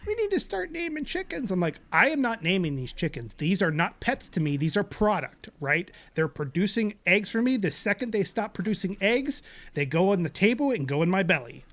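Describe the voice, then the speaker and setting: A man's voice: high voice, sing-song, fairly quick. A middle-aged man hosting a casual talk show, speaking with intensity and a big voice. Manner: intense, loud, talks with big energy. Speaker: podcast host. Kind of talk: casual chat.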